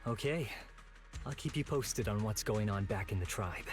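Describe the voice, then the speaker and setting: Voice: medium pitch, lively intonation, normal speed, natural voice. Voice actor playing candid night watcher, anime game character, casual, curious, inquisitive, music underneath. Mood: puzzled, serious. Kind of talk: anime-style video game voice acting. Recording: music behind the voice. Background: background music.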